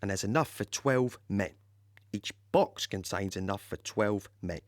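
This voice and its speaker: An actor playing a cheeky, proud British army supply driver with an English accent, chatting colloquially in an audio play. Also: male voice, fairly low pitch, measured pace, dry recording, clean studio sound, natural voice. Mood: matter-of-fact. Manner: chatty, colloquial, rambling, repeats himself. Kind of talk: first-person dramatic monologue in audio play.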